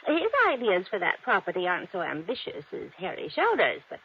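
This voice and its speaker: An actress playing a wealthy, dramatic widow in an old-time radio drama, guarded and dismissive in manner. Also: female voice, on the low side, very animated, a little fast, slightly rough, exaggerated voice. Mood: dismissive, confiding. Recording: noisy, muffled vintage radio recording.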